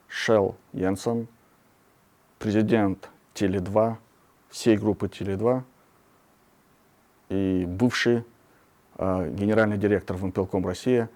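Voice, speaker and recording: Mellow-voiced male interview host. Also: on the low side. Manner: formal, announcer-like introductions. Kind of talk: formal interview hosting.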